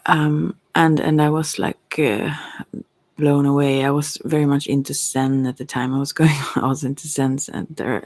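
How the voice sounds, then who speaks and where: A middle-aged woman with a Swedish accent talks softly and intimately, as if thinking aloud for an ASMR audio. Her voice has a heavy creak. She wanders and trails off mid-thought, recorded close up with no room sound. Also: rumbling low, dry recording. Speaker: ASMR creator and tarot reader. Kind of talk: unscripted reflective ASMR monologue.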